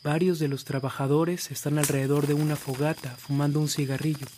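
An actor as the storyteller of a radio drama, delivering scripted dramatic narration. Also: male voice, on the high side, level tone, a little fast, a little mellow, slight background noise, natural voice. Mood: narrating.

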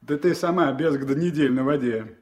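A high-voiced, grumbling riverboat crewman played by a male actor in an old Soviet radio play. He argues in earthy, colloquial speech, needling with mocking rhetorical questions. The mono recording has a vintage feel. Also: fairly quick, close to an everyday voice. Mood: indignant, argumentative.

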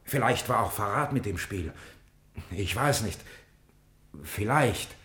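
An actor in a 1950s German radio drama playing a humble, shaken soldier who speaks gravely and deferentially, recorded with room echo. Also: male voice, fairly low pitch, expressive, takes it slowly, a bit bright. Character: humble, shaken soldier reporting to his lord. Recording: roomy vintage radio studio recording.

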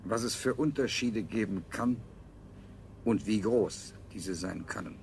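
A man's voice: lowish voice, measured pace, gravelly, darkish tone, a little hiss or hum. A man playing a proud, sardonic scientist-king in a 1970s German radio play, speaking in a declamatory way. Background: music.

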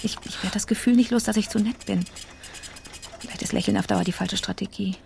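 Creaky-voiced controlled female boss. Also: mid-range voice, fairly even intonation, fairly quick, darkish tone, a little hiss or hum. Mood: irritated, determined. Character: controlled, tense female office boss, privately resentful. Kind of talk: radio play interior monologue.

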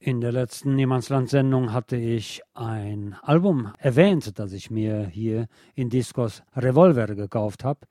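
A man hosting a late-night radio show, delivering a literary scripted monologue in a deliberate, poetic storytelling way. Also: medium pitch, dark tone. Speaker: radio host. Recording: music playing under the voice. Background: music.

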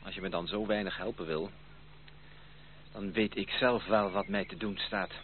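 An actor playing a persistent, affectionate suitor in a period radio drama, coaxing and earnest. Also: male voice, low voice, steady tone, moderate pace, natural voice. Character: persistent, affectionate male cousin courting a stubborn woman. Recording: muffled old radio play, faint hiss.

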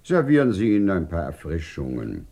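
Courtly whimsical science boss in a radio drama, low gravelly voice, teasing. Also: male voice, huge pitch swings, a little fast, warm tone, heavily acted. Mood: courtly, bantering. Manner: whimsical, courteous, elaborately worded, theatrical. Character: urbane, teasing male scientific director of a desert research station. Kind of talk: German radio play acting.

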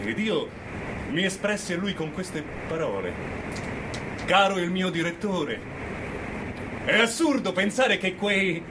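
Defiant death-row convict, high voice, scornful theatrical declamation, radio drama, clearly noisy recording. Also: male voice. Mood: bitter, brooding. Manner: declamatory, scornful, swinging from hushed narration to shouting. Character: defiant condemned male prisoner, bitter, mocking his jailers. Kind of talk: theatrical monologue, radio play.

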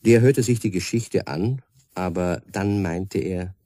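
A man's voice: sits low, a little slow, mellow, no room sound, clean studio sound. A man narrating a scripted story as the narrator of a radio play. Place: dry studio.

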